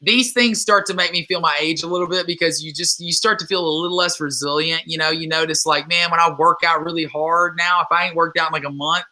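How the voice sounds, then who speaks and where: A man in his twenties from Louisiana talks casually as a guest, rambling and earnest, circling back with restarts and fillers. His tone is strikingly bright and ringing. His voice sits a little high for a man. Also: steady tone, quick pace, few pauses. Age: 20s. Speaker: guest musician. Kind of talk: casual interview chat.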